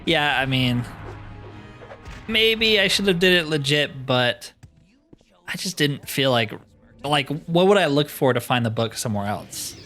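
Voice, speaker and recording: A man's voice: a little high, lively intonation, measured pace, long pauses, sharp tone, soft background music. A man giving casual, chatty let's-play commentary, often explaining himself in asides. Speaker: host of a gaming playthrough.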